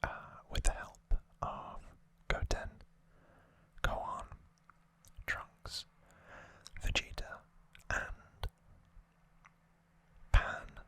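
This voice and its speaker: An ASMR host whispering a trivia summary in a breathy, unvoiced, hushed and measured way. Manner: slow, hushed, measured delivery.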